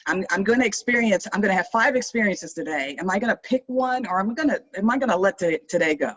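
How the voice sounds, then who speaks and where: A woman's voice: mid-range voice, expressive, quick pace, a bit bright, dull audio. A woman speaking earnestly in a panel discussion, reflective, often restarting her sentences. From Maryland. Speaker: panel guest.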